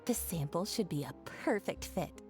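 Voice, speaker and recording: A woman playing a friendly, businesslike boutique tailor in a video game, polite and animated. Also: fairly low pitch, normal speed, natural voice. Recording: music playing behind.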